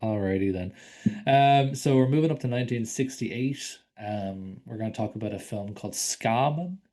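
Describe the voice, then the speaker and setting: A man's voice: mid-range voice, normal speed, warm tone. A man co-hosting a casual film podcast, rambling with lots of ums and likes and casual swearing. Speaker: podcast co-host.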